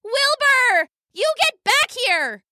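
A woman's voice: very high voice, expressive, takes it slowly, sharp tone, dry recording, studio quality, voice pushed up, full character voice. A woman voicing an anxious, stubborn young female weasel in a children's story, dramatic and protesting. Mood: angry, shrill.

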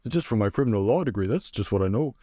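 A man's voice: fairly low pitch, expressive, very fast, warm tone, no echo, clean studio sound. A man playing a hesitant, rambling undercover Canadian cop in an improvised comedy sketch. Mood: nervous, hesitant. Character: bumbling, apologetic undercover Canadian cop posing as a new guy.

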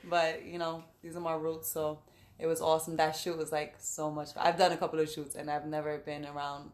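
Woman, late 20s, from the Bronx; casual guest, low and flat delivery. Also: brisk. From the Bronx, New York. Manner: relaxed, laughs often, lists with fillers. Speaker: boxer and guest. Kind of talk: casual podcast interview.

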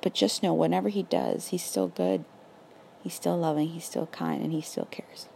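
A raspy young woman hosting a casual faith podcast, earnest and encouraging, full of "like" and "literally". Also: on the low side, steady tone, moderate pace, darkish tone, a little hiss or hum, rough recording. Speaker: podcast host.

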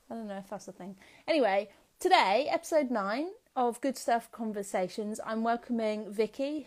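A woman's voice: fairly high pitch, a little mellow. A woman hosting an Instagram Live, chatting casually and rambling with lots of ums. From England. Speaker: host of an Instagram Live interview. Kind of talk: casual livestream chat. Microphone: phone or laptop on a live stream.

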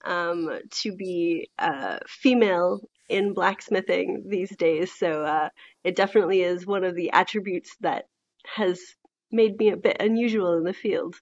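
A woman's voice: fairly low pitch, measured pace. Friendly woman on a dull line. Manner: friendly, hesitant, many ums. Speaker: blacksmithing teacher, guest. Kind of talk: interview answers.

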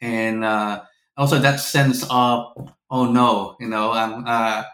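The recording is noticeably roomy and echoey. A middle-aged man with a Filipino accent speaks at an average pitch. He is a professor recounting personal memories in a conversational interview style. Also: flat delivery, slow, a bit bright. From Philippines. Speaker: seminary professor, podcast guest.

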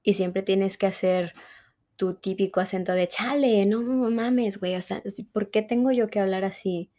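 A woman from Mexico with a Mexican accent, a guest in a conversational interview, telling her story in a reflective and animated way. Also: medium pitch, normal speed. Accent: Mexican Spanish.

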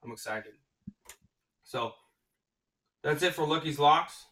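Excitable man hosting a sports podcast. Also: a little high, slow, recorded from a distance. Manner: excitable, gushing, restarts mid-sentence. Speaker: podcast host. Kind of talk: casual sports prediction chat.

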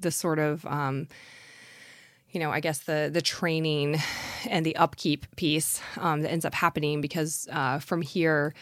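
A woman's voice: sits low, little pitch movement, measured pace, no echo, clean studio sound. A woman singer and guest in a casual interview, recovering from a cold and rambling with many fillers and laughs. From Washington DC area. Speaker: singer in an acoustic duo, guest. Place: studio.

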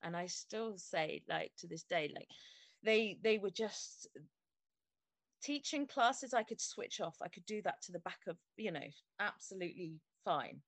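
Halting woman, dry close sound. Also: medium pitch, lively intonation, dry recording. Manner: halting, stops and restarts often, open and heartfelt. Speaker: interview guest, fitness studio owner. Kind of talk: candid personal interview.

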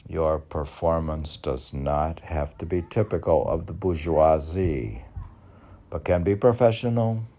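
An older man reading his own poetry aloud in a halting manner, mixing recitation with casual asides. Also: a bass voice, warm tone. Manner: halting, spells out and repeats words. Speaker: poet reading own work.

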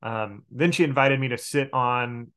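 A young man in his late twenties speaks as a podcast guest at an average male pitch. He chats casually and with animation, often halting and repeating a word as he works through an explanation. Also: a bit bright, clean tone. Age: late 20s. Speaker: podcast guest, game master. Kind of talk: casual chat.

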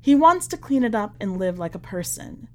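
Measured woman reading a story aloud. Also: medium pitch, very animated. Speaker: podcast editor reading a short story aloud. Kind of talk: scripted narration of literary fiction.

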